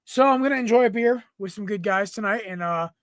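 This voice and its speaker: Bright-voiced man hosting, a bit raspy. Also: high voice, fast, dry recording, clean studio sound. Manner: rambling, clears throat often, swears casually. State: slightly raspy from smoke. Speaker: podcast host. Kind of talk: casual sports podcast chat. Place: room at home. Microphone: computer mic, no headset.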